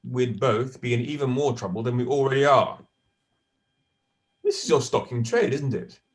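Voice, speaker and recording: A man gives voice to a well-spoken young man with precise, cutting diction and a cool, superior wit. He sits at a middling pitch for a man. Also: animated, moderate pace, lightly acted. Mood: dry. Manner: precise, dry, condescending. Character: posh, articulate young man, dry and superior. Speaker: actor in a stage play. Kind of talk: audio drama acting.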